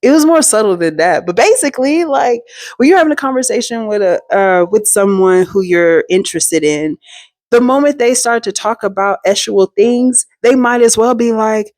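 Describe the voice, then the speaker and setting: A woman hosting her own storytime show, telling a personal story in a chatty, slangy and animated way, full of asides to her viewers. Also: a little high, moderate pace, no room sound. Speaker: storytime host.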